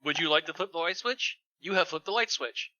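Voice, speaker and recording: Very bright, high-ish sarcastic man. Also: fairly quick, lo-fi sound. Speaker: reader on a comedy show. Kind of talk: read-aloud and casual joking.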